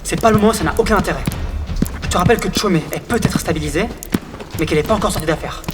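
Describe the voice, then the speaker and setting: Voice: mid-range voice, racing, close to an everyday voice. A man playing a stern, protective, guarded insect adventurer with a curt, firm manner in an audio drama. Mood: irritated, firm. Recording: long reverb, music behind.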